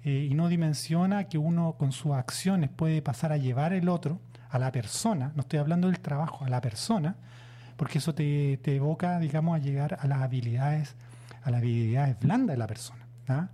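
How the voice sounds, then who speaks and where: A man, a psychologist guest explaining calmly on radio, with many hesitant fillers. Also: on the high side. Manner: measured, many "eh" fillers and "¿no es cierto?" tags.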